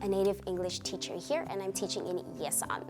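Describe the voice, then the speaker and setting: A woman in her twenties with a South African accent, giving measured answers as an interviewee. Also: medium pitch, flat delivery. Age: 20s. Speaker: interviewee, English teacher. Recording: music behind the voice.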